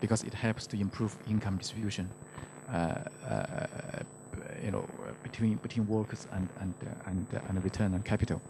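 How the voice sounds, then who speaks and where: A middle-aged man with a fairly low voice gives an unscripted panel answer as an economist. He is halting and repeats words as he goes. The stage microphone picks up faint noise. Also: fairly even intonation. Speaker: macroeconomist, conference panelist. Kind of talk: panel discussion, unscripted explanation. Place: conference stage, indoors. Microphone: handheld microphone.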